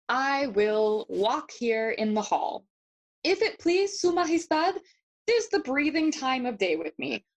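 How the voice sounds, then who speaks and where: A woman playing a brooding, mocking young Shakespearean prince in an audio play, theatrical and deliberate, moving between English and Spanish. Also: fairly high pitch. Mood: wry, challenging.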